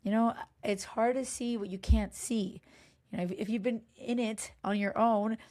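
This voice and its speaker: A woman in her thirties, hoarse from a cold, giving frank, chatty relationship advice as a coach, with plenty of fillers and restarts. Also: a little high, fairly even intonation, normal speed, a little mellow. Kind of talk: casual direct-to-camera advice talk.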